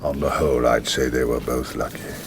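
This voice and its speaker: A worldly, self-mocking man who probes with dry irony. His voice sits deep and low. A clear rumble runs through the film soundtrack. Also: steady tone, fairly quick. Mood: probing. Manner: dry, ironic questioning. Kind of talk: film drama dialogue.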